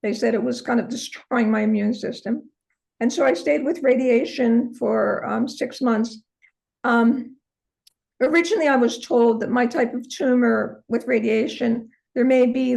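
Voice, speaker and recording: An older woman with a hoarse voice, telling her personal story as an interview guest. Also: a little high, unhurried, a little mellow, rough recording. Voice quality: hoarse, from a vocal cord injury. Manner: earnest storytelling, many ums. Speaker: interview guest, holistic practitioner.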